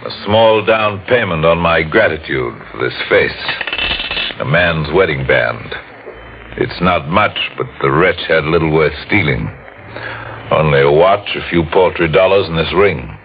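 A very deep, suave male devil from a radio horror play. He speaks with silky formality and calm, taunting menace. The old broadcast is muffled, with faint noise. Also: unhurried, exaggerated voice. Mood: coolly menacing.

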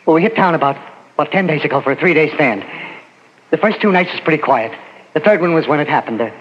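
High-pitched jittery carnival hustler. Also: male voice, lively intonation, fast, a bit bright. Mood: confiding. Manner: slangy, urgent, colloquial. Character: small, slight, frightened fast-talking carnival weight-guessing hustler. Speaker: radio actor. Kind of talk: 1940s radio acting. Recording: noisy, muffled 1940s radio recording.

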